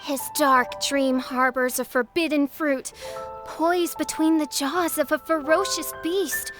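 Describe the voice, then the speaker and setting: A woman's voice: sits high, fairly even intonation, slow, music in the background. A woman voicing a grandiose young self-proclaimed princess who speaks in theatrical, archaic phrases. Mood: haughty. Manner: theatrical, archaic and pompous speech. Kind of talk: video game voice acting.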